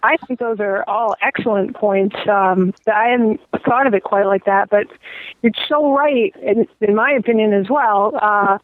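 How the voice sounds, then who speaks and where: A woman's voice: mid-range voice, unhurried, no echo. A woman co-hosting an interview, talking casually over a phone-like connection. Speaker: podcast co-host. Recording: tinny phone-like line.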